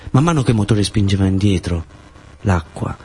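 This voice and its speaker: A man's voice: on the low side, expressive, moderate pace, mellow, slightly rough. A man with a southern Italian accent telling a first-person theatre story in short phrases with incantatory repetition. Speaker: actor-storyteller.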